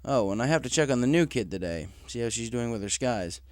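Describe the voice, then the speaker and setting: A man voicing a gruff, businesslike male pony supervisor in a story reading. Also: mid-range voice, lively intonation, fast talker, dry recording, studio quality, lightly acted.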